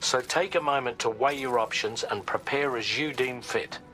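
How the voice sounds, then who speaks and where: An actor playing a plain-spoken logistics advisor in a video game, briefing in a methodical, slightly folksy, instructive way. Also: male voice, medium pitch, moderate pace, pushed and bright, faint music behind, close to an everyday voice. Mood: firm, advisory.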